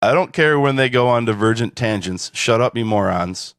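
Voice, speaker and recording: A man co-hosting a casual podcast, dry and deadpan, reading listener reviews with a chuckle. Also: medium pitch, normal speed, no echo. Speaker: podcast co-host.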